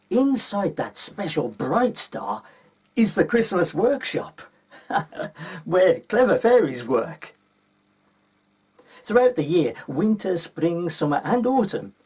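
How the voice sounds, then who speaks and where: A man with a husky voice reading a children's story aloud as a storyteller. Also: a little high, darkish tone.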